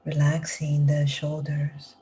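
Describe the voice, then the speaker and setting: A woman guides a meditation in a low, even voice, her slow phrases often sinking into a whisper. Her tone is mellow and unhurried. A faint hiss sits under the recording. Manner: slow soft-spoken instructions, drifting into whispers. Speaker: meditation teacher. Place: live group session.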